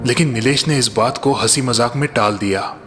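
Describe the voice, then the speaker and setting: A man's voice: mid-range voice, moderate pace, a bit bright, faint music behind. A man narrating scripted moral and horror stories in a dramatic storytelling style.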